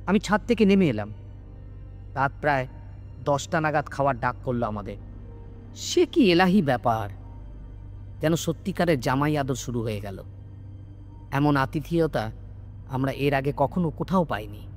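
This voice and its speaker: A man telling a scripted ghost story in an expressive, literary storytelling manner. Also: medium pitch, moderate pace, long pauses. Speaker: storyteller, audio story narrator. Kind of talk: dramatic scripted narration of a Bengali ghost story. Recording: music bed under the narration. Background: background music.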